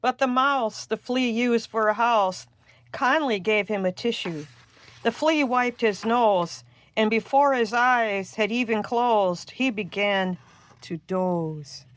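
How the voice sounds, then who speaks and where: A woman reading a rhyming children's storybook aloud in a singsong, expressive, drawn-out way. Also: fairly high pitch. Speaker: storybook reader.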